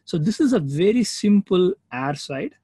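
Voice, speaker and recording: A man with a husky, somewhat high-pitched voice gives an informal webinar lecture as instructor. The sound is close and dry, with no room around it. He explains things in a plain, explanatory way and often checks that listeners follow. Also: expressive, normal speed, warm tone, no echo. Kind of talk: informal online technical lecture with slides.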